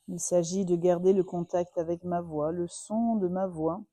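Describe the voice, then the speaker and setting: Female yoga teacher for guided relaxation: very dark, soft-edged tone, dry close sound, soothing and unhurried. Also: on the low side, steady tone, no echo. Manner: soothing, unhurried, with long pauses.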